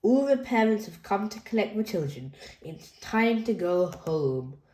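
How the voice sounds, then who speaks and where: A woman reading a children's picture book aloud in a slow, gentle storytelling manner. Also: mid-range voice, very animated. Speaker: storybook reader. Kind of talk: children's read-aloud narration. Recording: echoey room.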